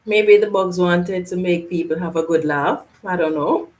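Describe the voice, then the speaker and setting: A woman from Canada hosts a casual live gardening stream. She reads viewer comments aloud and answers in a loose, chatty way with frequent laughter. Her voice sits a little low. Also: moderate pace, darkish tone. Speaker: gardening channel host.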